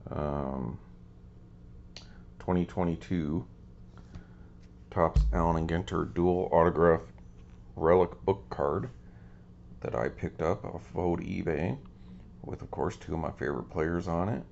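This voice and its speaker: A man's voice: deep voice, unhurried, vocal fry, long pauses, a little mellow, lo-fi sound. A man hosting a casual show-and-tell of his cards, easygoing and rambling with lots of ums, his voice a little scratchy today. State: slightly scratchy voice. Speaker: card collecting channel host.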